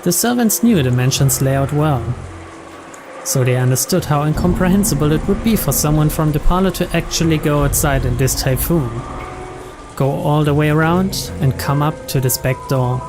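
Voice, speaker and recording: Higher-pitched man narrating a game. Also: normal speed, over music. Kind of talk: scripted narration read aloud.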